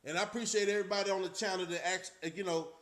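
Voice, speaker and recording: A man's voice: high-pitched, steady tone, rapid-fire, a bit bright. A man hosting a casual live-stream chat, talking loosely and rambling with frequent restarts. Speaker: channel host.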